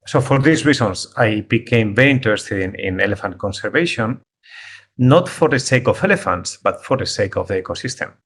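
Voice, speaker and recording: Spanish-accented man, halting online talk. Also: lowish voice, animated, a bit bright, breathy edge. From Spain. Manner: halting, repeats words while searching for phrasing. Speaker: guest speaker, conservation biologist. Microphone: video call.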